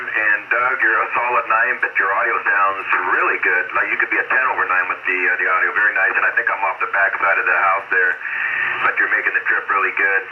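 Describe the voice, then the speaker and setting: A man chatting casually and ramblingly as an amateur radio operator over shortwave radio. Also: lowish voice. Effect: shortwave radio transmission. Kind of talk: casual ham radio chat. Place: outdoors in a hilltop park. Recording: narrow, very noisy shortwave radio signal.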